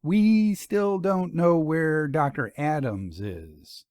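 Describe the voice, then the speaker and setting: Man voicing an anxious, hesitant woman, pitched up. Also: animated, slow pace, darkish tone, no room sound, studio quality. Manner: hesitant, stammering.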